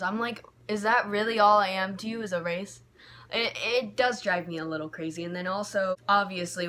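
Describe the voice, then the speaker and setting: A woman's voice: fairly low pitch, a bit bright, slight background noise. A young American woman vlogging about her feelings in a candid, rambling way, full of "like" and restarts, growing heated when frustrated. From United States. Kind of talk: personal reflective vlog. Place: home bedroom by a window.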